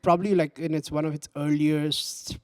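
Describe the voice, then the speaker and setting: A young man hosts a relaxed interview, rambling through his questions and leaning on "like" at every turn. His voice sits a bit high for a man. The recording is close and dry. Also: normal speed, dry recording. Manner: loose and rambling, heavy use of "like". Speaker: podcast host. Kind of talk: casual interview conversation.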